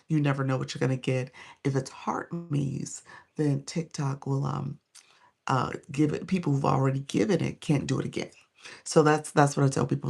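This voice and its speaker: Hoarse tired lady doing a phone live, gravelly voice, keeps shouting out viewers. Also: low voice. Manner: greets viewers by name between points. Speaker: live streaming coach. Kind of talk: casual live stream chat.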